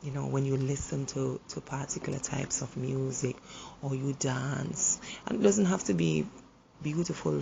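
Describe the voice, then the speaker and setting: Hoarse woman with a heavily gravelly voice, earnest and halting, sharing gentle encouragement. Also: very deep voice, slight background noise, rough recording. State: hoarse from the flu. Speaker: relationship speaker. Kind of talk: reflective motivational monologue.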